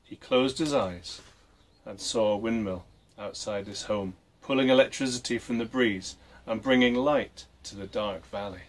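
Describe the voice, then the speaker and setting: A man reading a story aloud for children, with expressive, deliberate phrasing. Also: fairly low pitch, low-quality recording. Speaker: storybook reader and presenter.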